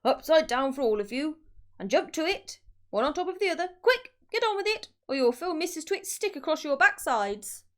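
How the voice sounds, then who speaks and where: A woman's voice: high-pitched, very animated, normal speed, voice pushed up. A woman voicing a nasty, bullying man from a children's storybook, barking like a fearsome trainer. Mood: barking orders. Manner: barking, shouted commands and threats. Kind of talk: children's storybook read-aloud.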